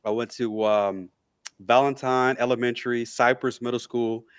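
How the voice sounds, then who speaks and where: Middle-aged American man, medium-pitched voice. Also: steady tone, normal speed, clear, resonant tone. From Memphis, Tennessee, USA. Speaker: podcast guest. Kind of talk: casual interview talk.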